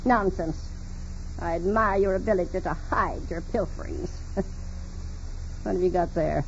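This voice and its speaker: A woman's voice: lowish voice, unhurried, vocal fry. An actress playing a despotic, irascible old invalid woman of wealth in a 1940s radio drama, imperious, suspicious and sarcastic. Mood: scornful, commanding. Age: older. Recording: muffled, noisy 1940s radio recording.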